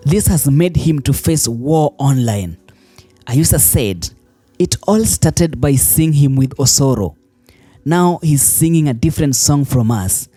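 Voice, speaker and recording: A Kenyan man narrates scripted news commentary for an online audience. His voice is mellow and sits at an average male pitch, with faint music running underneath. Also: breathy edge.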